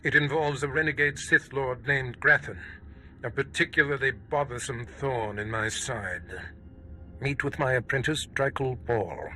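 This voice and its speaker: A man playing a cruel, imperious Sith lord in a video game, speaking slowly and with menace. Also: mid-range voice, pushed and bright, lightly acted. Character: cruel, commanding Sith lord torturing a prisoner. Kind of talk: video game villain acting.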